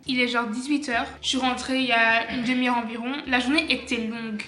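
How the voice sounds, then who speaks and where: A woman's voice: fairly high pitch, level tone, a little fast, pushed and bright, a little hiss or hum. A young woman from Belgium vlogging casually at home, tired and chatty. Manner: chatty, tired, says genre a lot. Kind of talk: casual vlog chat.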